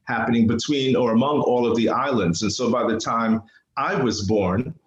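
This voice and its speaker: A man's voice: medium pitch, normal speed, highs cut off. An older man from New City, telling personal stories casually and animatedly, repeating phrases for emphasis. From New York City, United States. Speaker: podcast guest, artist. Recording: roomy, echoing interview sound.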